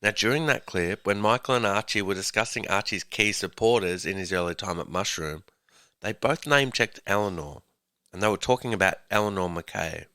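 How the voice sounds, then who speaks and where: A man narrating a podcast in a clear, measured, scripted way. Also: on the low side, sharp tone, no room sound. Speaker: podcast host.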